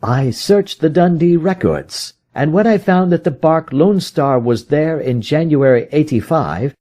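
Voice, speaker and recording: An intense, vengeful detective from a storybook, acted out by a male reader, pitched high and swinging widely as he speaks. He is proud and brooding, and declares things with agitated emphasis. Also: lively intonation, measured pace, dark tone. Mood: brisk, explanatory. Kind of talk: dramatic audiobook character reading.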